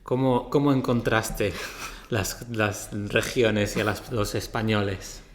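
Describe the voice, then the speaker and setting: A man's voice: fairly low pitch, steady tone, takes it slowly, a little hiss or hum. A man hosting a podcast interview, putting short questions and reactions to his guest.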